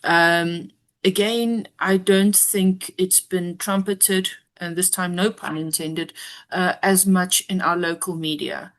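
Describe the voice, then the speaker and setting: A woman, a guest giving measured, analytical commentary with frequent ums. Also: on the low side, fairly even intonation, fairly bright tone. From South Africa. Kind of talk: interview discussion.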